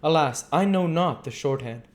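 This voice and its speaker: A man's voice: fairly high pitch, expressive, moderate pace, voice pushed up, close to an everyday voice. A man voicing a courtly, effusively polite older foreign doctor in an audiobook, with earnest, stilted phrasing. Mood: grateful, effusive.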